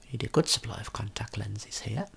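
A man giving a guided ASMR tour in a soft, breathy whisper, gently explaining without hurry. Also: on the low side, brisk.

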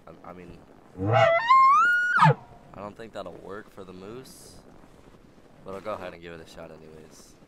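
A young man with a sick, slightly raspy voice, chatting casually with many fillers while playing a hunting game. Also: low-pitched. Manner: rambling, filler-heavy, chatty. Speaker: gaming channel host. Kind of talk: casual let's play commentary. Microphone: old, static-prone headset mic. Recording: noisy home mic with static.

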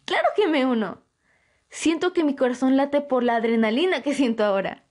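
A woman's voice: sits high, animated, moderate pace, darkish tone. A woman playing a bubbly, bold young girl in an amateur anime-style audio roleplay, gushing and giggly. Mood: excited.

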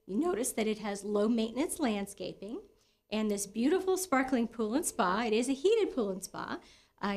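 A middle-aged American woman presenting a property tour as a real estate broker, in conversational, semi-scripted sales talk. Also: a little high.

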